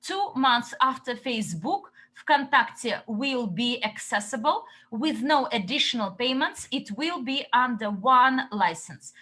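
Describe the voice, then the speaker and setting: Slow, bright-voiced woman interpreter. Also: fairly high pitch. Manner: halting phrasing in short chunks. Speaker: interpreter relaying a company update. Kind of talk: consecutive interpreting of questions and answers.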